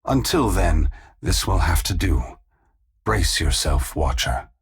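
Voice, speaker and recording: A man voicing an ancient, solemn and resolute god in a video game, speaking in a gravelly, husky, grave and measured oratorical way. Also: low-pitched, animated, full character voice. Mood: gentle, grave. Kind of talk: scripted dramatic game dialogue.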